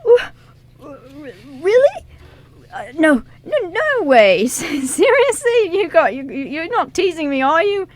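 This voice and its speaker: A woman playing an earnest, excitable teenage boy who gushes and stammers in an amateur radio drama reading. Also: extremely high pitch, slow, no room sound, full character voice. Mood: stunned, elated. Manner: stammering, gushing, rambling.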